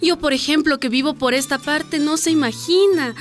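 A woman's voice: high-pitched, moderate pace, faint music behind, close to an everyday voice. An actress playing a polite, talkative, slightly plaintive woman in a radio soap opera. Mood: courteous, plaintive. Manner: courteous, chatty, plaintive. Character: polite, talkative Ecuadorian woman complaining of the heat.

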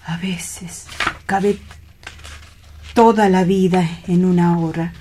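An actor playing a melancholy, lovelorn dreamer in a radio play, speaking in a soft half-whisper with lyrical, wistful phrasing. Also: male voice, sits high, steady tone. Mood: dreamy nostalgia. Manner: lyrical, wistful, drawn-out reminiscing. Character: melancholy, dreamy, lovelorn romantic man, intense and misunderstood.

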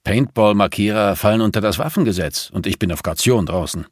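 A man voicing a sullen, mocking tough man with a growling, deadpan manner in an audiobook. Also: lowish voice, fairly quick, no room sound, clean studio sound, close to an everyday voice. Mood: menacing.

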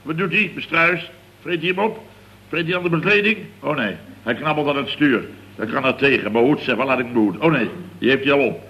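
A man's voice: mid-range voice, brisk, lo-fi sound, close to an everyday voice. A man playing a blustering, muddled contractor boss in 1970s radio comedy, flustered, stammering and repeating himself. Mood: flustered, alarmed. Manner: flustered, repetitive, stammering restarts.

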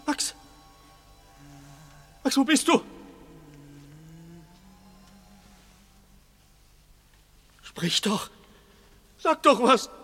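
An actor playing a nervous armed crook in a 1960s radio play, threatening yet frightened. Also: male voice, very high-pitched, bright, forceful tone, exaggerated voice. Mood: terrified, pleading. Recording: 1960s radio drama recording.

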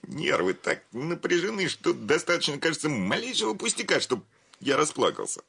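An actor playing a pompous, jittery, sentimental bank director in a radio play, with a fussy, hesitant manner. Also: male voice, a little high, big pitch swings, normal speed, vocal fry, pushed and bright, slightly rough, heavily acted. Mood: nervous, weary. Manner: fussy, self-important, hesitant. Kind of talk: theatrical radio play of a classic comedy.

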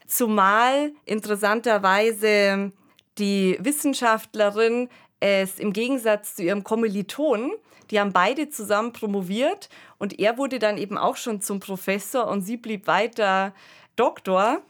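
A woman's voice: medium pitch, unhurried, fairly bright tone. A woman hosting a podcast discussion in a conversational, reflective way, thinking aloud. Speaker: podcast host. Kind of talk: conversational discussion of a radio play.

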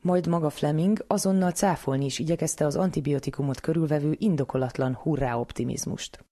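A woman's voice: low voice, warm tone. A woman hosting a science programme, narrating from a script and putting questions to a guest. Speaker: science programme host and interviewer.

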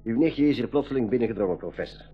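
An actor in a radio play playing a protective, secretive watchman who speaks in a measured, guarded way. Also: medium pitch, expressive, slightly rough. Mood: wary, respectful. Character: secretive, protective male watchman, calm and wary. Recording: dull 1980s radio play, faint music.

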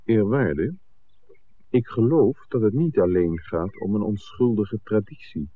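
An earnest, persistent man looking into village secrets in an old radio play. His lowish voice is lively in pitch, courteous and articulate. It sounds thin and phone-like, as on an aged broadcast. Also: a little slow. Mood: polite, insistent. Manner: polite, articulate, insistent. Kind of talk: 1960s Dutch radio drama acting.

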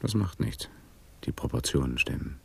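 An actor playing a wry, laconic police sergeant who is easily smitten and mutters to himself in a radio play. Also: male voice, very deep voice, animated, moderate pace, creaky, a bit bright, slightly rough, full character voice. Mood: wry, smitten musing. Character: wry, smitten Amsterdam police sergeant, restless inner musings. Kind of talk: radio drama acting.

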